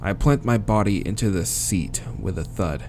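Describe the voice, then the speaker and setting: Low-voiced man reading a game story aloud. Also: moderate pace, a little mellow, faint music behind. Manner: casual, crude interjections. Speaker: let's player reading a visual novel aloud. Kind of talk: reading game narration aloud with casual asides.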